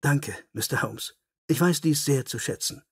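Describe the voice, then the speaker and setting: An earnest, melancholy English lord , played by a male audiobook narrator, gracious and dignified. He speaks with formal sincerity in a husky voice, recorded dry and close. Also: medium pitch, normal speed, no echo. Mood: grateful, gracious.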